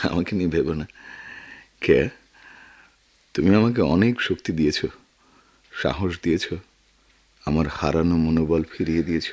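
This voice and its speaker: Casting: subdued Bangladeshi writer, radio play, deep husky voice, gentle, sincere, wistful delivery. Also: male voice, slow pace, pauses often, heavily acted. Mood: tender resolve. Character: gentle, subdued writer regaining his courage. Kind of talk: Bengali radio drama acting.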